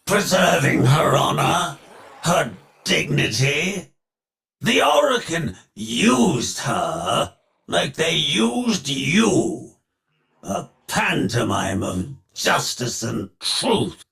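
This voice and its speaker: Grandiose game villain, older male magnate: gravelly and creaky, theatrical, lively melodic swings, commanding and ruthless. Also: a little high, heavily acted. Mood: sly, goading. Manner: pompous, declamatory, slow deliberate phrasing. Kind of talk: theatrical scripted video game dialogue.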